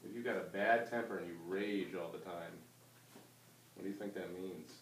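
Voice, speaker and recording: A man's voice: low-pitched, moderate pace, a bit bright. A man teaching a class, reading a story aloud and putting questions to his pupils. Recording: roomy distant mic with background noise.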